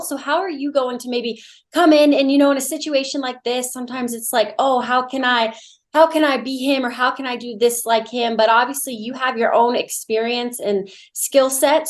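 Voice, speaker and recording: A woman with an American accent hosting an upbeat promotional interview for a sports team. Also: fairly high pitch.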